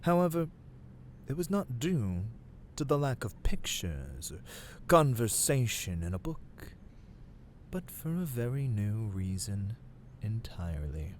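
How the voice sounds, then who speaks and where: A man narrates a storybook roleplay in a theatrical, formal manner. His voice is fairly low and sweeps expressively up and down. He takes his time with each phrase, over faint noise. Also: sing-song. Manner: measured, dramatic, formal.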